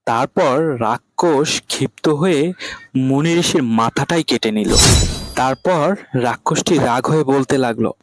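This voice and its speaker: A man's voice: medium pitch, a little slow. A man narrating a cartoon fable as a scripted storyteller.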